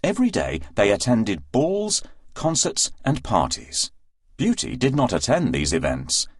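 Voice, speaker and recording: A man narrating a scripted fairy tale for children with an expressive, deliberate storytelling delivery. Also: medium pitch, breathy edge, no echo, studio quality.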